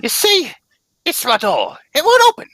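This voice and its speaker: A woman's voice: sits high, wildly swooping pitch, moderate pace, a bit bright, no room sound, exaggerated voice. A woman playing a flustered, apologetic lady in an old-time radio drama, polite, hesitant and fluttery. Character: flustered, apologetic, high-voiced troubled lady.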